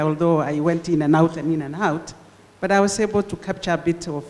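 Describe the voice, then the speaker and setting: An older woman speaking into a handheld microphone in a large lecture hall, hosting a conference and reflecting before she introduces the speaker in a measured, formal way. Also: lowish voice, dark tone. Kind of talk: formal public address. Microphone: handheld mic. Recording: long hall reverb.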